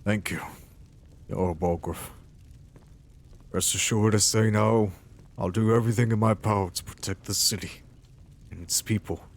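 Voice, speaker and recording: A man's voice: lowish voice, steady tone, moderate pace, pauses often. A man playing a humble, earnest warrior hero in a fantasy game roleplay, speaking hesitantly and sincerely. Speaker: roleplay player.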